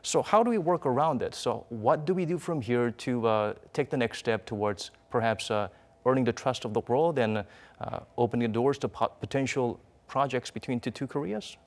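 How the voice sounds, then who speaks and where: Male anchor, medium pitch, reflective and wordy live news commentary. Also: normal speed.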